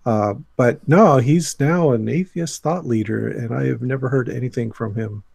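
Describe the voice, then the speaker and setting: Measured man with a creaky voice. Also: mid-range voice, a little mellow, no echo. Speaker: podcast guest. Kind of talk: casual panel discussion.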